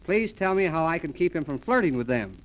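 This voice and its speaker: Male radio comedy host, high-pitched, mock-formal, on an old 1930s transcription disc. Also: sing-song, fairly quick, low-quality recording. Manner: mock-formal announcer delivery.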